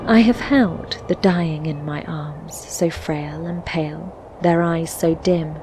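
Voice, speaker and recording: A woman playing a sorrowful, reflective fantasy game heroine, reciting verse in measured phrases with breathy endings. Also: low voice, noisy background. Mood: grieving, tender. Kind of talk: scripted poetic narration for a fantasy adventure game. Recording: music under the voice. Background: background music.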